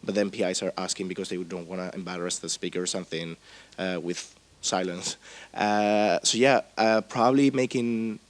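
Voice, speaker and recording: Man, very low voice, hesitant, filler-heavy, workshop report-back. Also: steady tone, moderate pace. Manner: hesitant, rambling, many fillers. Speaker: workshop participant. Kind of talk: group discussion report-back.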